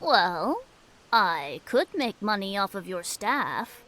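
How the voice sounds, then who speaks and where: Woman playing a slow, musing older halfling merchant. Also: medium pitch, big pitch swings, sharp tone, exaggerated voice. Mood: pleased, businesslike. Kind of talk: fantasy audio drama acting.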